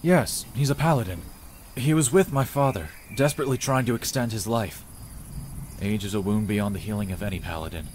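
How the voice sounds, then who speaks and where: A man's voice: on the low side, fairly quick, a little mellow, a little hiss or hum, close to an everyday voice. A man playing a dry, matter-of-fact, well-travelled village inventor in a visual novel. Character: dry, worldly village man, self-taught showman inventor.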